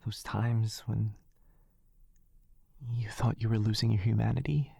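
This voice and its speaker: A man playing a gentle, protective and frightened wizard in a boyfriend ASMR roleplay, speaking softly and haltingly, often in a whisper. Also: fairly low pitch, flat delivery, no echo, clean studio sound, exaggerated voice. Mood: anguished, scared. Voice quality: soft, breathy, often whispering. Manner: tender, halting, apologetic.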